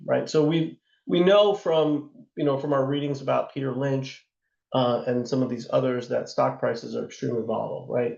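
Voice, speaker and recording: A man teaching a class on investing, hoarse from a sore throat, talking in a loose conversational way with plenty of fillers and asides. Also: mid-range voice, darkish tone, echoey room, muffled recording. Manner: loose and conversational, many fillers and tag questions. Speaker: instructor. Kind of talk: lecture on investing.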